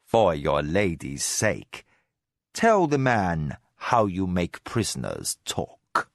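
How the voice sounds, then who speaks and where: A man voicing a cold, menacing male spy master who speaks low, slow and measured. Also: sing-song, a bit bright, no echo, full character voice. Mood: icy drawl.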